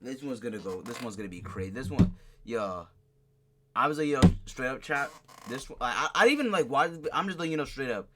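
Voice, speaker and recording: Excitable man reacting, swinging pitch. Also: fairly quick, background noise. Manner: excitable, repetitive exclamations. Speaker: streamer reacting to a horror video. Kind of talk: live reaction commentary, casual slang.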